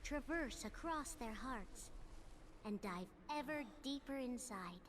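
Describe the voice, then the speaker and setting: A gentle guide figure from a video game, fairly high in pitch, with earnest and deliberate delivery. The words come as solemn counsel, with clear noise behind them. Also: female voice, expressive. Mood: solemn, guiding. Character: gentle solemn guide advising a young hero. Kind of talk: measured video game cutscene monologue.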